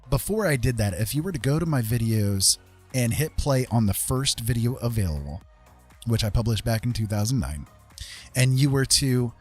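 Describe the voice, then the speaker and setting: Middle-aged male livestreamer, mid-pitched, conversational and rambling, casual chat over faint music. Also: a little mellow. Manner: rambling, conversational, self-deprecating. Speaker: livestreamer hosting an art tutorial and chat. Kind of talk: casual chat to a live chat room.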